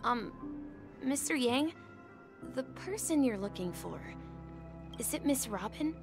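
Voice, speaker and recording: A courteous, eager young game guide, speaks high with lively swings in pitch and a slightly hesitant helpfulness. Music plays behind the voice. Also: female voice, slow, exaggerated voice. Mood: polite. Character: polite, eager youngster acting as local guide. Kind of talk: video game voice acting.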